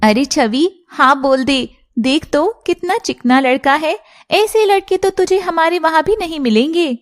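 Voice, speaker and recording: A young serpent maiden in a cartoon, voiced by a woman, very high and girlish. She speaks in a chirpy, playful way with her friends. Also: unhurried. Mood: teasing, affectionate. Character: playful young female shape-shifting serpent girls.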